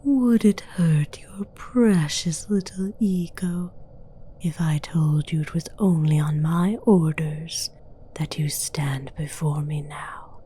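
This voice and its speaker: Woman doing a menacing demon queen asmr, super breathy whisper, low and taunting, kinda seductive. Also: dark tone, full character voice. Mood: condescending, taunting. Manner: slow, deliberate, condescending. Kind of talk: whispered ASMR roleplay monologue.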